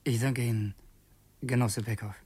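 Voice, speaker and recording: An actor playing a tormented revolutionary assassin in a radio play, speaking tensely and repeating himself obsessively. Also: male voice, medium pitch, fairly even intonation, normal speed, a little mellow, breathy edge, lightly acted. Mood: exhausted, resigned. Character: tormented revolutionary assassin posing as loyal aide. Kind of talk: German radio play acting.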